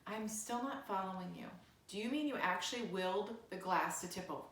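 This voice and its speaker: A woman voicing a kind, patient female schoolteacher in a children's storybook, gentle and questioning. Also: medium pitch, brisk, reverberant, slight background noise, lightly acted. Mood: puzzled. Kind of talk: children's storybook read aloud.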